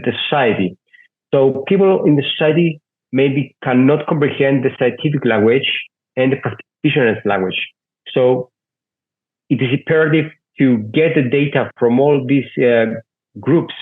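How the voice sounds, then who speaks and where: Greek-native man on a tinny call line. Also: medium pitch. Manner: slow and deliberate, searching for words. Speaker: panelist. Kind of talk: panel discussion. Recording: tinny phone-like call audio.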